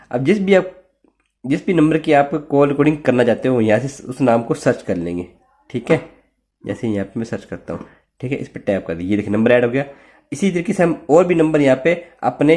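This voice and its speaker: A man's voice: medium pitch, a little mellow. A man with an Indian accent hosting an informal tech tutorial, explaining each step in an instructional, repetitive way with frequent check-in tags. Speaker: host of a tech tutorial channel.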